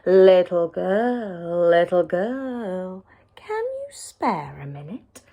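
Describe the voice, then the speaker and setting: Greedy male wolf played by a woman, silky and falsely kind, slow coaxing lines, very swoopy pitch. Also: sing-song, dull audio, heavily acted. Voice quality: silky, soft. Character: sly, greedy male wolf sweet-talking a child.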